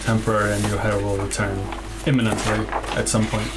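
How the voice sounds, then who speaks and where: A man playing a tabletop game, chatting in character in a wry, halting, self-correcting way. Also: on the low side, little pitch movement. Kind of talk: improvised in-character game chat. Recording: music behind the voice.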